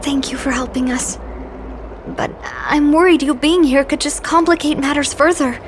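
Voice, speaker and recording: A woman voicing a gentle, apologetic young woman in a video game, pleading and hesitant in manner. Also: high-pitched, normal speed, background noise, lightly acted. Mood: polite, worried.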